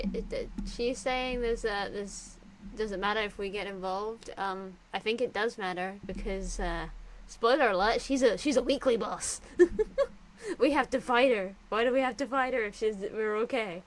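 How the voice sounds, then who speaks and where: Woman streamer, casual commentary, slow and giggly, on a boom mic with faint noise. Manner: chatty and giggly, with restarts. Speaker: game streamer. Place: home streaming setup. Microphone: desk mic on boom arm.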